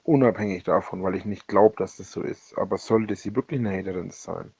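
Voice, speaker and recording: A man speaking a rambling personal voicemail, halting and often correcting himself, with a husky voice. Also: low-pitched, darkish tone, no room sound. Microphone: voice message recorded on a phone. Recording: dull lo-fi leaked voicemail recording.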